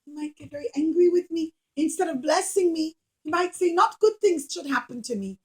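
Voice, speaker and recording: A woman's voice: extremely high pitch, expressive, fairly quick, voice pushed up. A woman voicing a timid, anxious young son in a children's puppet show, pleading and hesitant. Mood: scared, hesitant.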